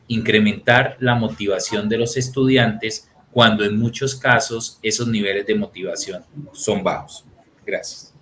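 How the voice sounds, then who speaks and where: Low-voiced formal male moderator. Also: a bit bright, slight background noise. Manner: formal, measured. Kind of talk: reading audience questions.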